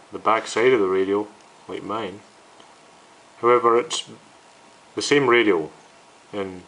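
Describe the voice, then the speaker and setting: A man's voice: lowish voice, a bit bright, low-quality recording. A man narrating an informal, unscripted hobby video as its host, in a downbeat, hesitant, explanatory way.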